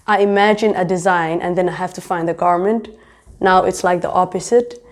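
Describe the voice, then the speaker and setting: A woman's voice: on the low side, darkish tone. A young woman in her twenties, born in Somalia and raised in Denmark, answering interview questions about her design work and studies in a chatty way, with many fillers. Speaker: fashion designer and pharmacy student.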